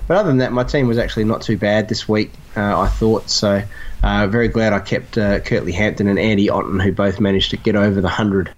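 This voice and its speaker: A man's voice: on the low side, fairly even intonation, a little fast, muffled recording. A man, a podcast guest, talking in a friendly, matter-of-fact way. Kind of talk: casual podcast chat.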